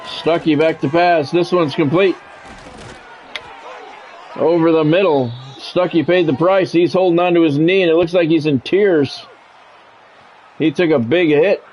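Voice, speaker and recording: Higher-pitched American man calling football play-by-play. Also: darkish tone. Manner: short clipped announcer phrases. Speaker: sports play-by-play commentator. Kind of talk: live play-by-play commentary of a football video game. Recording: dull lo-fi sound, music behind.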